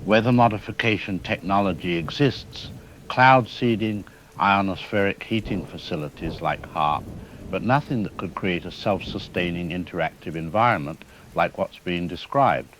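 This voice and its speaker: Man playing a deep-voiced, cautious scientist. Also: low-quality recording. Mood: grave, troubled. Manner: measured, formal, careful. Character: cautious, troubled male scientist interviewed as radio expert. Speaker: expert guest. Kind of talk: scripted radio drama, technical explanation. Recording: steady rain-like background noise.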